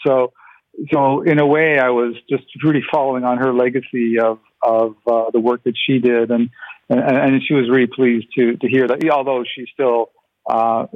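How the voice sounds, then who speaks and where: A man in his sixties, a guest artist whose first language was Ukrainian, answering interview questions haltingly with many restarts. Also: mid-range voice, no echo. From Canada. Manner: hesitant, many restarts and fillers. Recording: tinny dry phone line.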